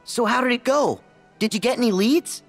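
A man playing an eager, expressive teenage boy hero in a video game, with a husky voice. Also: very high voice, moderate pace, faint music behind. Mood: curious.

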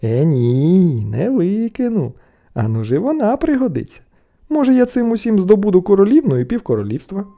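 A man voicing an excitable, naive young peasant lad in a storybook tale, exclaiming eagerly. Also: sits high, normal speed, pitched above the performer's own voice, heavily acted. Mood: stubborn, hopeful.

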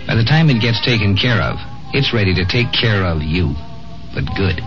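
A man's voice: lowish voice, sing-song, normal speed. A man giving a host's commentary in a 1950s radio style. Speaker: radio series host. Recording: muffled old radio recording, faint music.

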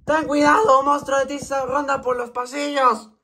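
A man's voice: extremely high pitch, flat delivery, normal speed, pushed and bright. A man voicing a nerdy, panicky schoolboy in an amateur comic fandub, dramatic and pleading. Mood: panicked. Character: panicky nerdy schoolboy frantic about a chalk monster.

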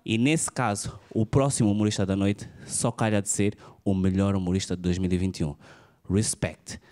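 A man's voice: on the low side, fairly even intonation, moderate pace, a little mellow. A man, presenting acts as host on a comedy club stage. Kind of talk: introducing the next comedian. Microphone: handheld microphone.

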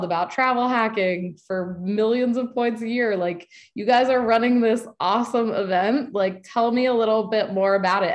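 A young woman in her twenties hosting a video-call webinar from her living room, gushing and upbeat with lots of filler words. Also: mid-range voice. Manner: bubbly, gushing, many likes and ums. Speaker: host. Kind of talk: enthusiastic host moderating a webinar. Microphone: webcam on a video call.

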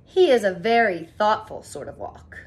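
A woman's voice: medium pitch, very animated, normal speed. A woman in her thirties, a teacher reading a picture book aloud and explaining it expressively in simple phrases for young children. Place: small furnished room indoors.

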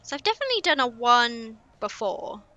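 Chatty woman, bright lively voice. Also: a little high, fairly quick, muffled recording. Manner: chatty, muttering to herself while building. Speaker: streamer. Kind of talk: casual gameplay commentary.

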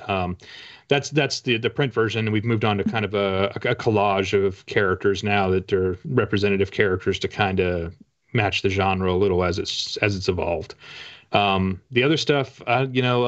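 Low-voiced middle-aged man, casual. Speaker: guest, author. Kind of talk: casual interview talk. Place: book-lined room at home. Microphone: video call. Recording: dull lo-fi video call audio.

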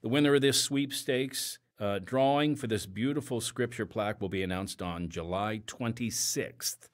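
A man's voice: fairly low pitch, moderate pace. A man hosting an audio drama podcast, reading announcements with casual, conversational asides. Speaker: podcast host.

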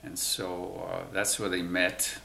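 A man in his nineties, born in Cuba, slowly recalling his family's past in an oral history interview, pausing often. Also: low-pitched, little pitch movement, vocal fry, bright, forceful tone, roomy sound, slight background noise. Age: 90s. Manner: slow, halting recollection with many pauses. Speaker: interviewee, WWII navy veteran. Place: museum room, indoors.

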